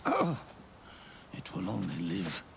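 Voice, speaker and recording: Dying old game soldier: extremely gravelly, slow and halting, weary, bitter. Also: male voice, low-pitched, huge pitch swings, background noise, heavily acted. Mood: weary, explanatory. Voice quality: gravelly, breathy rasp. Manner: slow, halting, labored delivery. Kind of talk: dramatic video game cutscene acting.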